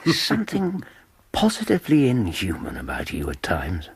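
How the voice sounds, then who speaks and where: An actor playing an exasperated Victorian gentleman with a husky voice, in British radio drama style. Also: mid-range voice, huge pitch swings, normal speed, no room sound, exaggerated voice. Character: exasperated male Victorian gentleman reproaching his friend.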